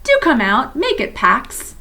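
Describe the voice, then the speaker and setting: A woman voicing a young schoolboy who is sulky and proud, calling out loudly in a storybook reading. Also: high voice, huge pitch swings, unhurried, pitched above the performer's own voice, heavily acted.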